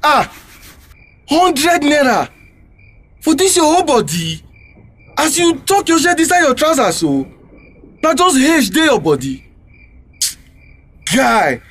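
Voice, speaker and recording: An actor with a Nigerian Pidgin accent playing a bullying street robber, taunting and commanding, in a radio drama. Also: male voice, extremely high pitch, wildly swooping pitch, slow, pauses often, fairly bright tone, a little hiss or hum, exaggerated voice. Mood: menacing, mocking.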